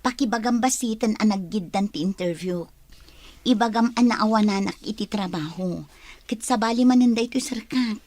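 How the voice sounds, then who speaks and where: A woman's voice: mid-range voice, normal speed, darkish tone, natural voice. A woman with an Ilocano accent playing an evasive, easily piqued young woman in a radio drama. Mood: apologetic.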